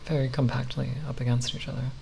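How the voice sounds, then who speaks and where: A speaker giving an unscripted ASMR ramble in a halting, nerdy, hesitant manner with long pauses. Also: mid-range voice, completely flat delivery, gravelly, darkish tone, rough recording. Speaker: ASMR content creator. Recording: faint background noise.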